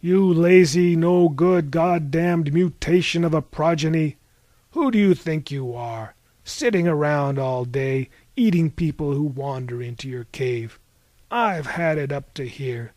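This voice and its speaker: A man's voice: on the high side, measured pace, darkish tone, no echo, close to an everyday voice. A man voicing an angry sea god in a storybook, an exasperated father roaring and ranting in reprimand. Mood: exasperated, scolding.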